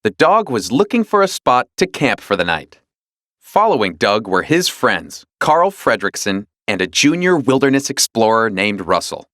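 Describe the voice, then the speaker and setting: A man narrating a children's read-along storybook in an expressive, dramatic storytelling manner. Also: medium pitch, moderate pace, no room sound, studio quality.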